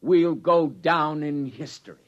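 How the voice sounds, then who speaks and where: An actor playing a self-satisfied, placating senior scientist with a pompous, declamatory manner in a 1950s radio drama. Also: male voice, fairly high pitch, unhurried, dull audio, lightly acted. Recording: vintage radio recording.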